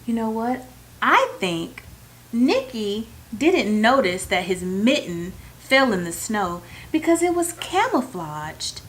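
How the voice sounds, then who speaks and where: A woman reading a children's bedtime story aloud, with expressive, deliberate phrasing aimed at young listeners. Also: fairly high pitch, poor audio.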